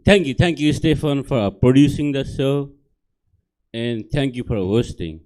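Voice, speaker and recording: A man's voice: medium pitch, measured pace, darkish tone, rough recording. A middle-aged man from Nepal with a Nepali accent, doing a stand-up set on a comedy theater stage in simple, broken English and keeps checking in with the crowd.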